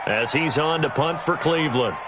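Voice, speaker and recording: An American actor as a football play-by-play announcer with a fairly high male voice. He calls the game in broadcast style for a sports video game, with loud noise behind him. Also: moderate pace, a loud crowd, close to an everyday voice. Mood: steady, businesslike.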